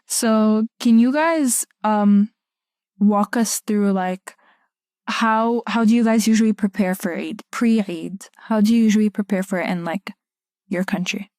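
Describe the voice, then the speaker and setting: A young woman from Egypt hosts a casual podcast conversation. Her voice is mellow and sits at a medium pitch. The recording is dry and close. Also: a little slow, no echo. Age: young adult. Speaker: podcast host.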